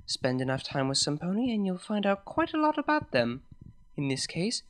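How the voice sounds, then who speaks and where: A woman reading a first-person story aloud, expressive and dramatic. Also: lowish voice, normal speed, faint music behind. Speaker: fanfic reader.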